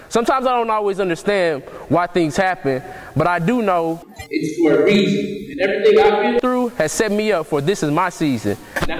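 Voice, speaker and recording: A young man with a strikingly high voice delivers a motivational talk in the manner of a preacher. He speaks earnestly, circling back over his phrases and pressing his points harder as he goes. The sound rings with the long reverb of a large church hall. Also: a little hiss or hum. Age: young adult. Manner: earnest, repetitive, many restarts, rising to preachy emphasis.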